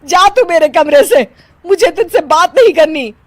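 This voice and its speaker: A woman voicing an easily offended, bossy middle-aged mother-in-law in an animated story, with a scolding, exclamatory way of talking. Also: very high voice, moderate pace, no room sound, pitched above the performer's own voice. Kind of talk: animated moral story voice acting.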